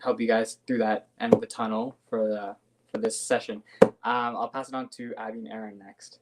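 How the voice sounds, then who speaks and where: A young university student introducing himself on a video-call panel, upbeat and eager to help. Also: male voice, on the low side, flat delivery, moderate pace, heavy background noise. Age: young adult.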